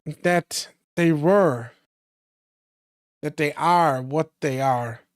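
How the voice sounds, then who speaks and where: A hoarse streamer reading a calm, solemn spirit in a storybook reading. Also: mid-range voice, very slow, no room sound, clean studio sound.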